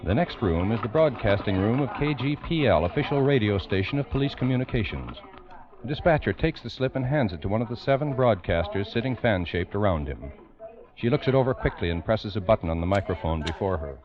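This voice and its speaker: A man narrating a true-crime radio drama in the 1940s style, grave, formal and deliberate. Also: lowish voice, lively intonation, close to an everyday voice. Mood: grave, measured. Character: grave male narrator of a police case. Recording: muffled vintage radio recording, music behind.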